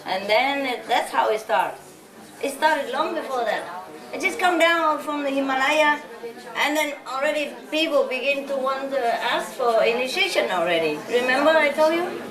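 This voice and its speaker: High-voiced woman lecturing in an echoey hall. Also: expressive, moderate pace, crowd noise. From Vietnam. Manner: chatty, laughing often, rambling asides. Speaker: spiritual teacher. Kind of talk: informal lecture to followers. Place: large hall with a live audience. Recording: echoey hall, distant mic, audience noise.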